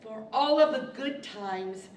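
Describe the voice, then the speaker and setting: Casting: woman as friendly, giddy rhymester, extremely slow theatrical delivery, roomy hall sound. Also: on the high side, darkish tone. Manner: declaiming verse, theatrical. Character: giddy, friendly rhyming poet of storybook land. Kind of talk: 1940s radio-style stage acting. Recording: echoey hall, distant microphone, hiss.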